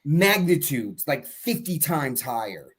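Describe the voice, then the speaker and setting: A man's voice: a little high, big pitch swings, slow, slightly rough. A man on a casual podcast panel who rambles with many restarts and gets heated as he makes his point. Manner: rambling, restarts often, heated about politics.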